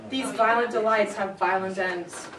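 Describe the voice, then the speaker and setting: A woman's voice: mid-range voice, fairly even intonation, normal speed, fairly bright tone, natural voice. A woman playing an earnest, lovestruck teenage girl in a comic dramatic reading of a film script. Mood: solemn. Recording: noisy echoey room, far-off camera mic, crowd.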